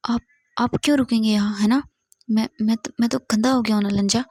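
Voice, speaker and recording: A woman's voice: fairly high pitch, fairly even intonation, fairly quick, a little mellow, breathy edge, dry recording, clean studio sound. A woman voicing a tearful young man who stammers and repeats his words as he pleads. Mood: hushed despair. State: crying.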